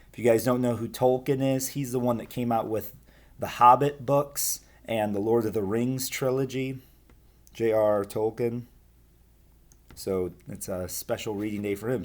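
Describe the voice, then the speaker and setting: Man reading aloud with very lively pitch. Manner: expressive, addresses young listeners directly. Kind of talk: storybook read-aloud with casual asides.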